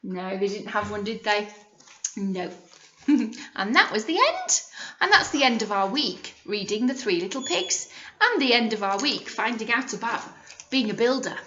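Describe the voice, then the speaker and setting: A woman's voice: a little high, big pitch swings, normal speed, pushed and bright, slight background noise. A woman, a teacher reading a storybook expressively to young children and prompting them with simple questions. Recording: echoey room, slightly muffled.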